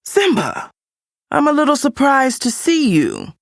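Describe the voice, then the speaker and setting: A woman's voice: sits high, wildly swooping pitch, unhurried, dry recording, clean studio sound, voice pushed up, full character voice. A woman voicing a scheming, villainous older male lion in a children's storybook. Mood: feigned surprise.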